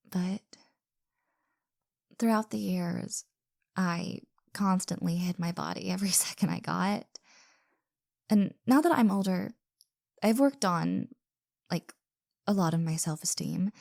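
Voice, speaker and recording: A woman acting self-doubting young woman, audio roleplay, slow hesitant delivery, stammering, soft breathy near-whisper, dry close sound. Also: mid-range voice, no room sound. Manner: hesitant, stammering, apologetic, sighing.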